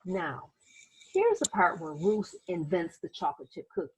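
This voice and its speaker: A woman reading a children's picture book aloud as host, in an expressive storytelling manner with little asides to young listeners. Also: lowish voice, moderate pace, husky, rough recording.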